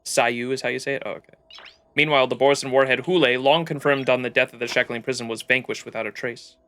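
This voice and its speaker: A man doing casual livestream commentary on a game, excitable and rambling as he chats. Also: mid-range voice, fast talker. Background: game music.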